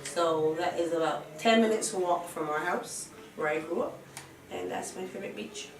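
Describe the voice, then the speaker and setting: A woman's voice: lowish voice, normal speed, gravelly, background noise. A woman in her fifties from Barbados with a Barbadian accent, answering questions in a casual documentary interview. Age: 50s. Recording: roomy, distant microphone, music behind.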